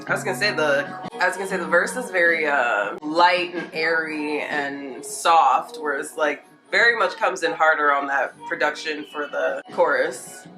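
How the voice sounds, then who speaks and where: Low-pitched, slightly nasal chatty woman. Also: normal speed, over music, poor audio. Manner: chatty, rambling, filler-heavy with frequent "like". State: getting over a cold. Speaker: music reaction vlogger. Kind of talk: casual unscripted reaction commentary.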